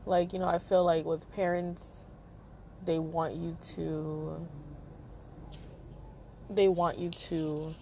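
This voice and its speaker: Low-key monotone young woman, gravelly. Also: slow, pauses often, a little hiss or hum. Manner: rambling with many fillers. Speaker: podcast host. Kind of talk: casual personal monologue.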